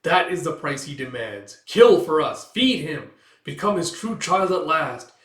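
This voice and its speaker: A man's voice: mid-range voice, normal speed, a bit bright, lightly acted. Man voicing a solemn, sinister giant rat emissary. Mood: stern, demanding. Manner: solemn, commanding. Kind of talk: comic book read-aloud. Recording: echoey room.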